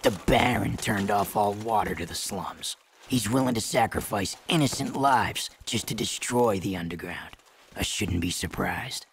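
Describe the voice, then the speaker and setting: A man as a gruff sarcastic rebel leader. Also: lowish voice, normal speed, slight background noise, natural voice.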